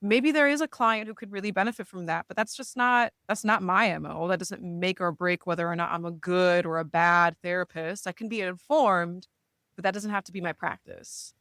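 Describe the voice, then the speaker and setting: A woman's voice: fairly low pitch, normal speed, a bit bright, dry recording, studio quality. A woman, a therapist speaking reflectively in a casual podcast chat, often checking in with "right?". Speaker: therapist, guest.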